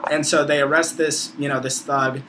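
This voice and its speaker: A man's voice: on the high side, level tone, moderate pace, noisy background. A man of about twenty with an American accent, talking casually and opinionatedly as a video blogger, with slangy, profane, informal phrasing. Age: around 20. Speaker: video blogger, college student. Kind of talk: casual opinionated vlog monologue.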